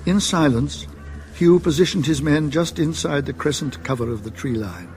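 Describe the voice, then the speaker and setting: A man's voice: on the high side, lively intonation, moderate pace, darkish tone, soft background music, lightly acted. A man acting as the solemn narrator of a medieval tale in a radio drama, with dramatic scripted delivery. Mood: tense, solemn.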